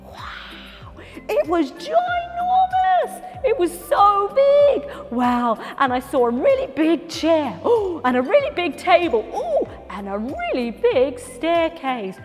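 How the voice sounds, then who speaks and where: A woman voicing an excitable, cheeky young boy who tells his own story with many gasps and sing-song exclaims. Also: sits high, unhurried, few pauses, long reverb tail, faint music behind, heavily acted. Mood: excited. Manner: gasping, breathless, sing-song. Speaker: storyteller actor. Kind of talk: theatrical children's storytelling.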